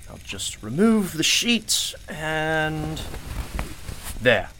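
A man's voice: on the high side, big pitch swings, very slow, background noise, exaggerated voice. A man playing a genial, knowing, mysterious shopkeeper in a radio play, with a courtly, theatrical and cryptic manner. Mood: inviting.

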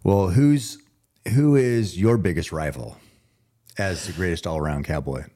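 A man's voice: deep voice, unhurried, warm tone. A man hosting a casual podcast, talking in an earnest, admiring and agreeable way. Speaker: podcast host.

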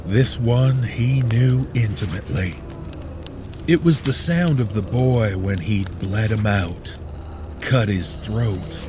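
A man narrating a horror story in a measured, grave, ominous delivery. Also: lowish voice, background noise. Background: background music.

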